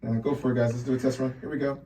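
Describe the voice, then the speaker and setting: A man's voice: medium pitch, little pitch movement, rapid-fire, dark tone, recorded from a distance. A man working as sound engineer, giving casual instructions to performers. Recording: roomy, faint background noise.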